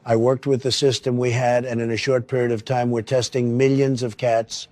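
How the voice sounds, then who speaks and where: Man as male cat politician, pompous, boastful, paranoid, repetitive oratory, satirical audio story, mid-pitched. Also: level tone, moderate pace, darkish tone, close to an everyday voice. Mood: self-congratulatory.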